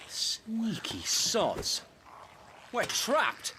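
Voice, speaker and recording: An actor playing a combative, blunt soldier in a fantasy video game, exclaiming with a British accent. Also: male voice, high-pitched, wildly swooping pitch, very slow, background noise, full character voice. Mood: angry, alarmed. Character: gruff, combative soldier in a lord's party.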